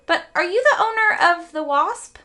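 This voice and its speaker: A woman's voice: extremely high pitch, expressive, normal speed, pushed and bright, voice pushed up, exaggerated voice. A woman voicing a tiny boy mouse sailor in a storybook, bold, proud and eager, with emphatic, polite phrasing.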